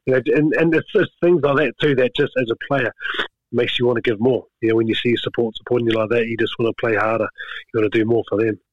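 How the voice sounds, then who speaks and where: A middle-aged man, chatting as a guest in a relaxed way, often repeating words as he gathers his thoughts. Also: mid-range voice, fast, no room sound. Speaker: guest, rugby skills coach. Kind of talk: casual interview chat. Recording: slightly dull lo-fi sound.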